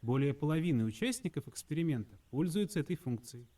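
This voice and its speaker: Distant, fast-talking man in lesson audio. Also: fairly high pitch, animated, mellow. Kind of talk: scripted lesson dialogue recording.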